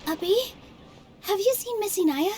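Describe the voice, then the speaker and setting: Brief: kind, earnest dancer, soft and sweet, light and airy tone. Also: female voice, very high voice, lively intonation, moderate pace. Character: gentle young female dancer, earnest and kind. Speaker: theater dancer. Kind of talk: video game voice acting.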